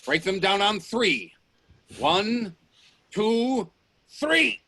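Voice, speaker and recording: Guy doing a hot-headed edwardian inventor in a radio play, pushy, husky, pitch jumps all over. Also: sing-song, very slow, no echo, full character voice. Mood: urgent, determined. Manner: forceful, demanding, urgent. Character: driven, hot-tempered male Edwardian inventor, stubborn and obsessive.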